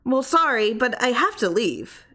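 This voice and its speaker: A woman voicing a cheerful, doting wife in a story, affectionate, brisk and coaxing. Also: fairly high pitch, sing-song, sharp tone, pitched above the performer's own voice, heavily acted. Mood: breezy, coaxing. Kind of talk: dramatized story reading.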